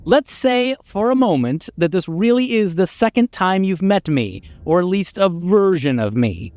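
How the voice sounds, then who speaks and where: A man's voice: high voice, very animated, normal speed, a little mellow, soft background music. A man playing a condescending, exasperated narrator in a video game, articulate and dryly sardonic. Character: exasperated, pompous male narrator voice guiding the hero.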